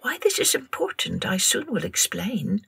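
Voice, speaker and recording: An older woman with a Scottish accent, telling stories and poems to children in an expressive, theatrical, deliberate way. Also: medium pitch, gravelly, breathy edge, soft background music. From Scotland. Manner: theatrical, deliberate, drawn-out delivery with hushed passages. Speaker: children's storytelling podcast host. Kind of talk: expressive storytelling and poem recital for children.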